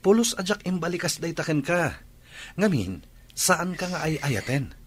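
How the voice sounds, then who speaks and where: Proud cold young ilocano boyfriend, lively pitch swings, radio drama, bit of noise. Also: male voice, moderate pace. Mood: defiant, dismissive. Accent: Ilocano Filipino. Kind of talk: Filipino radio drama acting.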